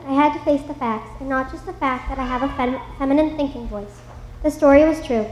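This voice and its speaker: A woman playing a folksy, earnest kids' detective with a high, bright voice, telling a moral lesson in a children's story. The sound is roomy and echoey, as if played over speakers in a hall. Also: dull audio, close to an everyday voice. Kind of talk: scripted children's story narration.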